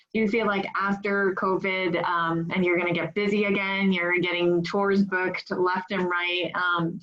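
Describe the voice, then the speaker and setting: Moderator, female, lower-pitched, steady and affirming, slightly dull video-call audio. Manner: measured, encouraging, frequent affirmations. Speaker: panel moderator. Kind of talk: online panel discussion.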